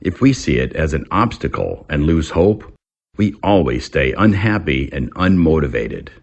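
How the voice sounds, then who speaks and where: Deep voiced guy reading english lesson stuff, slow n deliberate, husky, lots of movement in his pitch. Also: big pitch swings, dull audio. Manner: deliberate, instructional. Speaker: English teacher.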